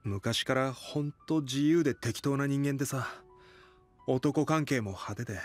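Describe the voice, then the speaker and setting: Earnest young man, mid-pitched actor's performance. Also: measured pace, faint music behind, close to an everyday voice. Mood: subdued, resigned. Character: earnest young male idol, weary guardian of nephew. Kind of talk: anime-style audio drama acting.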